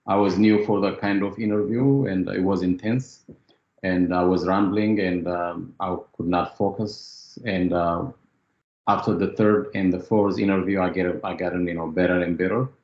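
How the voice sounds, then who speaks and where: A man's voice: sits low, fairly even intonation, moderate pace, darkish tone, roomy sound, highs cut off. A man from Ethiopia, a former student giving a testimonial interview, talking hesitantly with many fillers.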